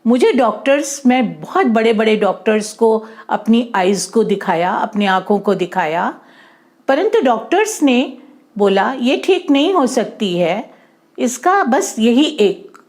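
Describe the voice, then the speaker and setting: A woman's voice: a little high, big pitch swings, unhurried, a little mellow. A woman voicing an earnest, trusting man who seeks help in a children's story. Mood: earnest, doubtful. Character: worried, trusting rich man seeking help.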